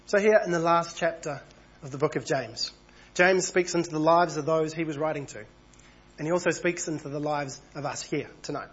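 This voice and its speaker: Husky guy with a cold giving a chatty evening sermon, slightly high voice, dull muffled sound. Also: normal speed. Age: over 35. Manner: conversational, reads passages aloud, small asides. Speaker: preacher.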